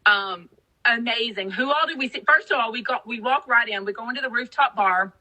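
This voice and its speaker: Podcast co-host from Texas, woman, very bright and slightly high, gossipy casual chat. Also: brisk, poor audio. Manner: chatty, gossipy, lots of fillers.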